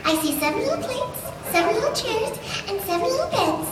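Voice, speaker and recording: A woman's voice: very high-pitched, big pitch swings, brisk. A woman voicing a sweet, innocent young princess, girlish and wide-eyed, in a live fairy-tale reading in a distant hall. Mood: sweet wonder. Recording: very echoey, distant hall, noisy crowd.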